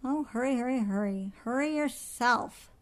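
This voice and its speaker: A woman's voice: mid-range voice, a little slow, a little mellow, close to an everyday voice. A woman reads the part of a little schoolgirl in a storybook. She is easily cross. Her delivery is petulant and pouty. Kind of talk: children's storybook character voice.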